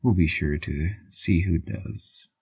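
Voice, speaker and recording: A man's voice: low voice, takes it slowly, creaky, a little mellow, husky, no echo, lo-fi sound, full character voice. A man playing a cheery, doting small-town radio host in an eerie comedic drama, with a gushing, folksy, theatrical manner and stammering asides. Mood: tender, grateful. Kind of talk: scripted comedic radio monologue, community news parody.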